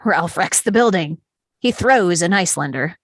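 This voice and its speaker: A woman's voice: lowish voice, lively intonation, moderate pace, no echo. Woman reading screenplay directions, dry sound. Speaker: stage-directions reader. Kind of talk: scripted narration at a screenplay table read.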